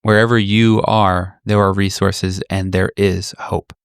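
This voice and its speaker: A man's voice: low-pitched, level tone, a little slow, clear, resonant tone, dry recording, studio quality. A man hosting a tabletop roleplaying podcast, speaking casually to the audience. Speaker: podcast host and game master. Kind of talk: casual sign-off talk.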